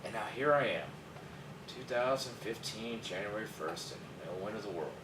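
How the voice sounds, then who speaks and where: A man's voice: on the low side, animated, moderate pace, lightly acted. A man playing a bitter, sarcastic office worker in a screenplay table read, with an exasperated manner. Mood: scornful. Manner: sardonic, exasperated, swearing. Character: bitter, sarcastic male office worker jaded by daily routine. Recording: distant, echoey room with background noise.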